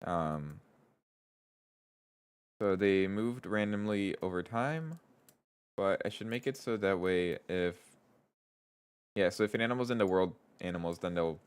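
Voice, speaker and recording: A man with a stuffy nose, streaming live as a game developer, chatting casually, reading chat aloud and thinking out loud as he codes. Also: deep voice, lively intonation, unhurried, pauses often, clean tone. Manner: thinks aloud, reads chat aloud, trails off and restarts.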